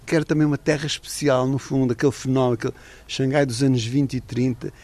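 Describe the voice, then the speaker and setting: A man's voice: medium pitch, fairly even intonation, fast, darkish tone. A middle-aged man, an author and former correspondent, talking in a radio interview in a thoughtful, digressive way with many restarts.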